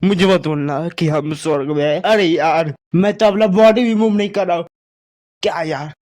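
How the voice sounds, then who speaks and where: A man's voice: on the high side, moderate pace, darkish tone, natural voice. A man voicing a determined, loyal, hot-headed young male ninja in an anime fan dub, earnest and emphatic. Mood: exasperated.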